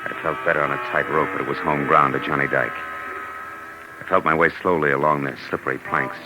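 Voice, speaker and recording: An actor playing a tough, wry American private detective in a 1940s radio drama, speaking in clipped slang. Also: male voice, extremely low pitch, steady tone, fast, background noise. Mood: grim, wry. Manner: hard-boiled, clipped slangy talk. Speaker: lead actor and first-person narrator. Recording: old 1940s radio recording with music.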